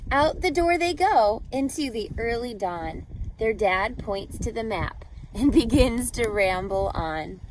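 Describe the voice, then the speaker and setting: A woman reading a picture book aloud as a teacher to children, expressive and carefully phrased, pausing to put questions to her listeners. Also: a little high, a little hiss or hum, low-quality recording.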